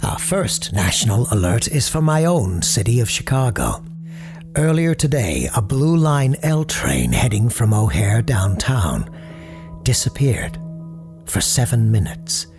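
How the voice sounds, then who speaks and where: A man playing a grave, low-voiced paranormal radio host. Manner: measured, formal, ominous understatement. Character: courteous, grave male parapsychologist hosting paranormal radio show. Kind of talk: scripted audio drama as late-night radio call-in show.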